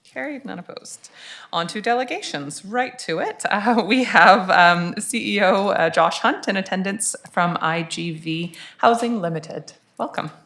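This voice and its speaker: A woman mayor chairing a city council meeting, running through motions in a brisk, formulaic way. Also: medium pitch, lively intonation, a bit bright. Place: council chamber.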